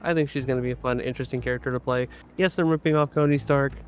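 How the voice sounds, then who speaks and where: A man's voice: medium pitch, steady tone, rapid-fire, slight background noise. A man introducing his character as a player at a tabletop game, loose and jokey, swearing casually. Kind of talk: casual chat.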